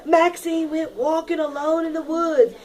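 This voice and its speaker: A woman teacher reading a picture book aloud to her class in an expressive, dramatic manner, leaning on key words and prompting children to repeat. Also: very high-pitched, unhurried. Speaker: primary school teacher.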